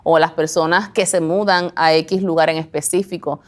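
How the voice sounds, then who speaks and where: A woman's voice: low-pitched, steady tone, moderate pace. A woman with a Puerto Rican accent speaking reflectively in a documentary interview.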